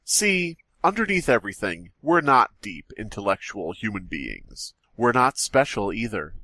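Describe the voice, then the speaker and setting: A man's voice: lowish voice, very animated, measured pace, pushed and bright, no room sound. A man co-hosting a comedy podcast, reading a silly horror story aloud in a mock-serious, dramatic way and laughing often. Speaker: podcast co-host.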